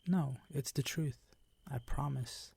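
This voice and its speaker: A man voicing a suave, predatory vampire count in an intimate ASMR roleplay, speaking formally with drawn-out, condescending, teasing courtesy. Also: mid-range voice, vocal fry, darkish tone, breathy edge, no echo, heavily acted. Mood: flattering, teasing.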